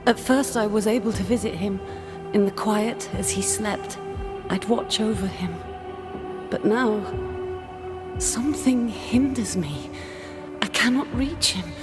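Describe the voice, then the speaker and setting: A woman's voice: medium pitch, a little slow, long pauses, music in the background, lightly acted. An actress playing the wistful, lovelorn ghost of a woman in a dramatic video game. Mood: longing, sorrowful.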